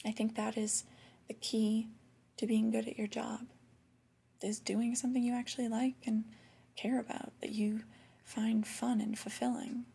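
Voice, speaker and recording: Breathy, half-whispering flirty boss played by a woman. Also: fairly high pitch, heavily acted. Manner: gentle, hesitant, intimate delivery. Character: flirty female boss, disapproving yet coaxing. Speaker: ASMR performer. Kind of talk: soft-spoken ASMR roleplay.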